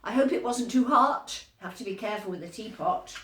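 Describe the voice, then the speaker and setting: A woman reading picture books aloud to young children in an animated storytime style, pausing to explain and ask encouraging questions. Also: mid-range voice, reverberant, a little hiss or hum.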